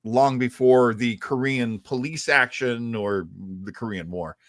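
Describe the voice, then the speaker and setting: A man hosting a talk show, explaining things in a halting, thoughtful, conversational way. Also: mid-range voice, dry recording, studio quality. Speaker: host of a retro radio show.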